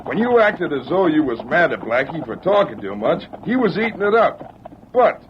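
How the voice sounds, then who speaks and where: A man's voice: fairly high pitch, very animated, moderate pace. A man playing a deferential but doubting outlaw henchman in an old radio Western, talking folksily with an American Western accent. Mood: doubtful. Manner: folksy, eager to please the boss. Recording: muffled, noisy old radio broadcast.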